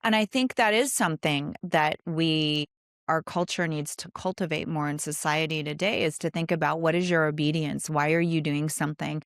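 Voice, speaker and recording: Woman, podcast host, very low pitch, smooth clear voice, earnest and effusive, dry close sound. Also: fairly even intonation, moderate pace, dry recording. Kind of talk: conversational podcast interview.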